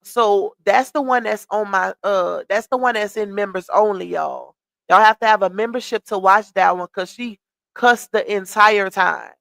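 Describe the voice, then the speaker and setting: A woman's voice: mid-range voice, moderate pace, creaky, a bit bright, dry recording. Chatty woman hosting a gossip live stream. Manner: chatty, reads out comments and greets viewers. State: losing her voice.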